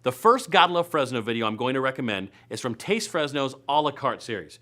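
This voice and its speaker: Bright, punchy man hosting a web show. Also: mid-range voice, big pitch swings, a little fast. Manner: playful, punchy delivery with emphatic stretched words. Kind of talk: upbeat scripted comedic news and events presenting.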